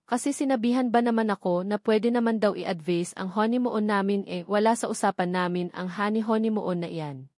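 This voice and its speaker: A woman's voice: mid-range voice, a little mellow, no echo, studio quality. A woman narrating a romance novel in the first person, reading from a script as an audiobook narrator.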